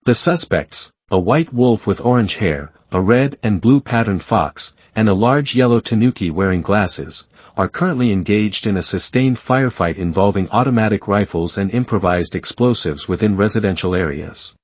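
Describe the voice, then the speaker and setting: Man, emergency alert announcer, low voice, formal measured reading, dull lo-fi dry audio. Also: no room sound. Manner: formal, even, measured delivery. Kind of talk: scripted emergency bulletin reading.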